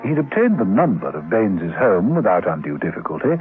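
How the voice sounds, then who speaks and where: Wry male storyteller with animated pitch. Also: fairly quick, soft background music. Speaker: narrator. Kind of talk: scripted radio drama narration. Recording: tinny, band-limited vintage radio recording.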